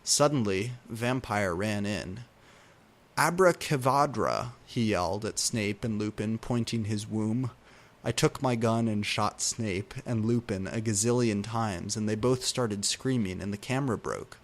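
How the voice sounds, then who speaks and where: A man's voice: lowish voice, unhurried. A man reading fan fiction aloud in a theatrical, mock-serious way, taking punctuation and typos literally. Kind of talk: dramatic comedic read-aloud of fan fiction.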